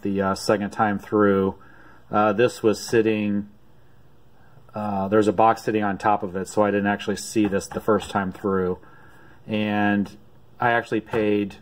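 A man talks through his latest finds from behind a handheld camera, drawling along slowly with frequent "uh" pauses. His voice sits on the low side and barely rises or falls. The feel is relaxed and homemade. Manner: unhurried, many "uh" pauses, low-key. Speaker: vlogger showing thrift and auction finds. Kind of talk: casual off-camera show-and-tell. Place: home room at a desk.

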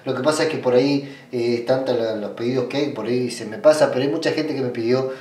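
A man's voice: mid-range voice, fairly even intonation, fast talker, darkish tone. Argentine man giving instructions, distant and roomy. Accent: Argentine Spanish. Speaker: ritual practitioner giving a tutorial. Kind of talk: informal step-by-step demonstration. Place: small indoor room at a table. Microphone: camera mic away from the speaker. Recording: roomy sound, distant microphone.

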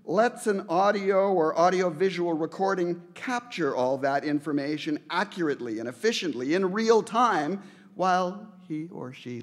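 A middle-aged man from Canada reads a prepared acceptance speech into the podium microphones of a large banquet hall. His voice sits a little high for a man. He builds his case with steady emphasis and lands his points with repeated phrases. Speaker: award recipient giving an acceptance speech.

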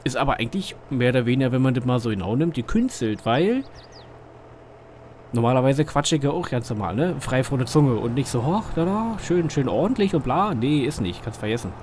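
A man's voice: mid-range voice, animated, brisk, darkish tone, a little hiss or hum. A man with a Berlin dialect, casually commenting on a farming game as the channel's host, rambling and thinking aloud with lots of fillers.

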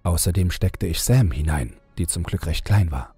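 A man reading a scripted horror audiobook in a measured, dramatic storytelling manner. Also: low-pitched, expressive, warm tone, slightly rough, no echo. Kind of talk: scripted creepypasta audiobook narration. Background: background music.

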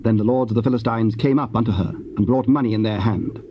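A man narrating scripture as part of an audio drama, solemn, measured and formal. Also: fairly low pitch. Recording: dull, faintly noisy cassette recording.

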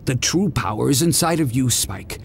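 An actor playing a kindly, earnest elderly professor who mentors a young hero in a video game. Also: male voice, mid-range voice, expressive, normal speed, gravelly, slight background noise. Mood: warm, encouraging. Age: older. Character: kindly elderly male professor, encouraging and concerned. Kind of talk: video game cutscene acting. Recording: game music behind voice.

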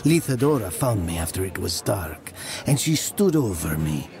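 A man's voice: very animated, normal speed, crowd noise, heavily acted. A man acting as a brooding laborer who narrates his grim past in an audio drama, often dropping to a whisper. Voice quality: gravelly, low. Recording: music under the voice.

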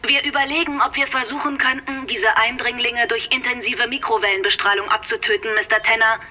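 An actress voicing a brisk, haughty cybernetic nurse who gives crisp clinical reports in a radio play. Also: female voice, a little high, steady tone, bright, forceful tone.

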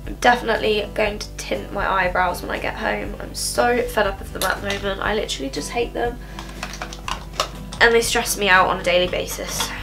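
Chatty young woman with a gravelly, bright voice. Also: mid-range voice, steady tone, a little fast, soft background music. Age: young adult. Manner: rambling, casual, frequent "um". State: slightly nasal from a cold. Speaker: beauty vlogger. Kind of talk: chatty makeup tutorial. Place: bedroom. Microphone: camera in front at close range.

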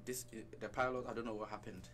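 Brief: Ghanaian man, early thirties, lowish voice, relaxed studio guest, faint background hum. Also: quick pace. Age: 30s. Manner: relaxed, mixes in Twi phrases. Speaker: radio guest. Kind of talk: casual radio interview. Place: small radio studio. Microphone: headset and desk mic.

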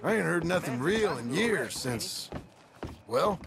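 An actor playing a gruff, weary outlaw in a Western video game, with a gravelly American drawl and a terse, dry delivery. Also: male voice, a little high, expressive, slow pace, noisy background, exaggerated voice. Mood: brooding.

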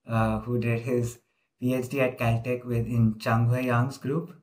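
A man's voice: medium pitch, flat delivery, measured pace, a little mellow. A man hosting an informal interview over a video call, talking casually with frequent fillers. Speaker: interviewer, host.

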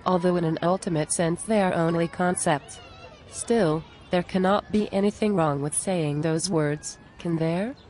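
A woman's voice: lowish voice, darkish tone, close to an everyday voice. A woman playing an exasperated, plain-spoken friend who pleads earnestly and reproachfully in scripted cartoon dialogue.

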